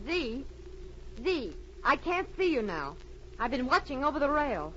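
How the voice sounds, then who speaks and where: An actress playing an anxious, devoted adventurer's partner in old radio melodrama, urgent and pleading. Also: female voice, high-pitched, very animated, a little slow, fairly bright tone, full character voice. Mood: watchful concern. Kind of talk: 1940s radio melodrama acting. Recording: muffled, noisy old radio recording.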